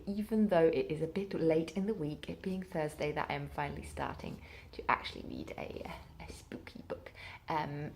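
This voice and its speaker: A woman's voice: low-pitched, slight background noise. A woman talking casually and informally as a book vlogger, thinking aloud as she goes. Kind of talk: casual spoken reading vlog.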